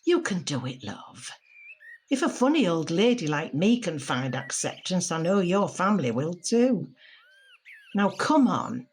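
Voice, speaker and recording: A woman playing a gentle, reflective grandmother in an audio drama, speaking in a measured, heartfelt way, encouraging and tender. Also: fairly low pitch, big pitch swings. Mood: warm, chatty. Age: older. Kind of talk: audio drama monologue and dialogue. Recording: noticeable background noise with birdsong.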